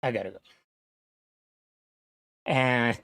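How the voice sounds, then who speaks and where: A man from New Jersey talking to camera in a rambling, casual monologue, halting and full of fillers, swearing now and then. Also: medium pitch, steady tone, a bit bright, no echo, studio quality. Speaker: vlogger.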